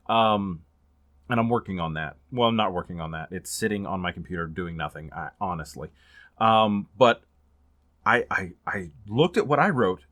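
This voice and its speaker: Low-voiced man chatting about books. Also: a bit bright, no room sound, clean studio sound. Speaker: book reviewer. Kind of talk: casual chatty book vlog.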